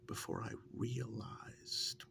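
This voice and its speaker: A man playing a wry, affectionate partner reminiscing in a soft-spoken, intimate ASMR role-play, halting and musing, breathy and often slipping into a whisper. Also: background noise, natural voice. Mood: wry, reflective.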